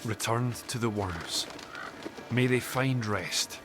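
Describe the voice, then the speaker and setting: An actor playing a gruff, proud older father who lives in the hills, wry in manner, in a video game. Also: mid-range voice, slow pace, music in the background, natural voice. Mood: somber. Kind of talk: video game dialogue acting.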